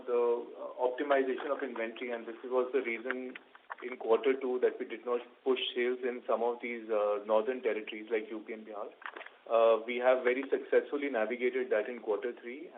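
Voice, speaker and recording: A man with an Indian accent, a company executive answering questions on an earnings call in halting, measured corporate phrasing. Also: medium pitch. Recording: narrow tinny conference phone line.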